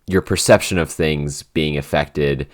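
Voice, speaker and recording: A man's voice: very deep voice, steady tone, a little slow. A man co-hosting a casual podcast Q&A, talking hesitantly with many restarts and fillers. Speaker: podcast head writer and editor, co-host.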